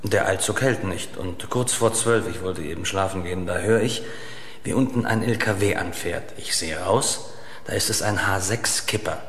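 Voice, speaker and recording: Male plain station witness: low, creaky voice, halting, in an echoing hall. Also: fairly bright tone. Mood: recollecting. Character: plain-spoken male night witness at a small railway station. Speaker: actor in a radio crime play. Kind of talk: radio crime drama acting. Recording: echoey hall with long reverb.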